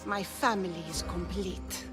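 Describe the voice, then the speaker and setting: A woman's voice: fairly low pitch, fairly even intonation, unhurried, music in the background, close to an everyday voice. A woman playing a cruel, gloating cult priestess in a video game, with a menacing, theatrical delivery. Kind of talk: dramatic video game voice acting.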